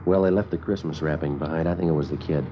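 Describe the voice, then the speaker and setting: An American man acting a calm police sergeant and narrating a radio drama in a clipped, matter-of-fact, deadpan way. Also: a bass voice, quick pace, a little hiss or hum. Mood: calm, businesslike. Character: male Los Angeles police sergeant, calm, businesslike detective. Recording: dull old radio recording with music.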